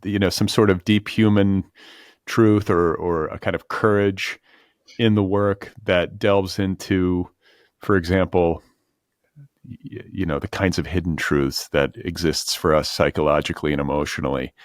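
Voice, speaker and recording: A man's voice: low-pitched, fairly even intonation, unhurried, no echo, studio quality. A man hosting a podcast in a casual interview style, musing informally and circling around his point. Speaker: podcast host.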